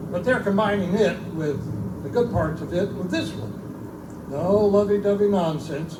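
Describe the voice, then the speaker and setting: A man playing a folksy, wisecracking keeper of a remote outpost library, chatty and theatrical, in pulp storytelling style at a live show. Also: high voice. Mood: storytelling relish. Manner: colloquial, chatty, theatrical, irreverent. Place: live in a bookstore. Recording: roomy distant live recording, loud background noise.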